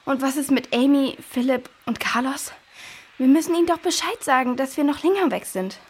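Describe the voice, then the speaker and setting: A woman's voice: high voice, normal speed, natural voice. A woman playing a curious, worried young girl who asks earnest questions in a children's radio play.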